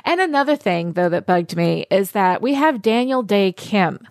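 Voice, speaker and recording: A woman speaking as a guest on a film podcast, animated and emphatic, often restarting her sentences. Her voice sits in a middle pitch. The recording is close and dry, with no room sound. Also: normal speed, no echo. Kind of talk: casual podcast discussion.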